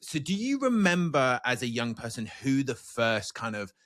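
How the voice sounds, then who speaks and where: A man's voice: mid-range voice, big pitch swings, moderate pace, fairly bright tone. A man hosting a podcast interview, affirming and enthusiastic as he responds and asks questions. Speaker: podcast host. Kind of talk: conversational interview.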